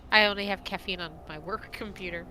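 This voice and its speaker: A woman's voice: mid-range voice, fairly even intonation, fast, sharp tone, slight background noise, rough recording. A woman with a stuffed-up nose, chatting playfully while gaming at home. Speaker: co-player. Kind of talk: casual gameplay chat.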